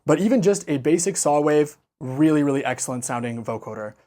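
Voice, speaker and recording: A man's voice: fairly high pitch, animated, brisk. An enthusiastic, chatty man hosting a casual synth walkthrough, speaking close into a studio condenser mic. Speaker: host of synth demo channel.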